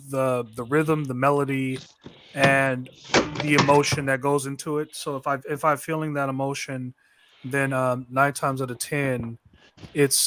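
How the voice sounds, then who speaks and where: Casual male talker, mid-pitched, rambling with restarts, faint background noise. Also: fairly bright tone. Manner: rambling, many restarts and fillers. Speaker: beat maker. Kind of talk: casual conversation.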